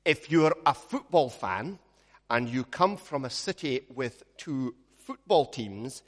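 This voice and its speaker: A Scottish man, a minister, leads a prayer and then preaches, telling stories with a measured pace and gentle humor. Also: mid-range voice, animated, big-room sound. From Scotland. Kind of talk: sermon with opening prayer.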